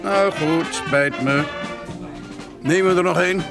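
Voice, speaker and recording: A man playing a tentative, nosy village acquaintance in a Dutch radio drama, talking haltingly. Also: high-pitched, vocal fry. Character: hesitant, nosy village acquaintance making careful small talk. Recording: loud music behind the voice.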